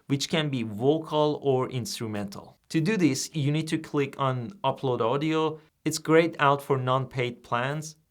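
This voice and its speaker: A man whose first language is Persian, hosting a software tutorial and explaining each step in a plain, steady way. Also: on the high side. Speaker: tutorial host. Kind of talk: screen-recorded software tutorial.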